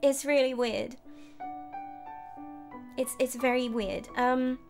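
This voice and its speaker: A woman's voice: high voice, fairly even intonation, slow pace. A woman giving casual, nervous and hesitant commentary while she plays a horror game, often muttering. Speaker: gamer and voice actress. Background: game music.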